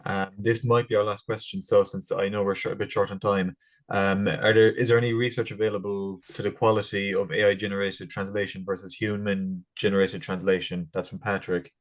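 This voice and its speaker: Low, flat-voiced male moderator. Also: brisk. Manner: brief, polite. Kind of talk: reading audience questions. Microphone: video call.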